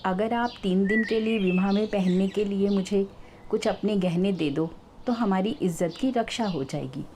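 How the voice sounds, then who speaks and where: A woman's voice: mid-range voice, moderate pace, background noise, natural voice. A woman voicing a cunning, brazen swindler woman in a told story, with an insistent, theatrical manner. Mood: pleading. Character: cunning brazen swindler woman, pleading then scornfully denying.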